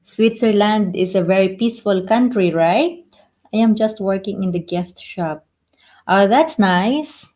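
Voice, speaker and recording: A woman with a Filipino accent chats casually as a live stream host, taking her time. Her mid-pitched voice moves haltingly through simple second-language phrasing, often repeating herself. Filipino is her native tongue. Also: dark tone. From Philippines.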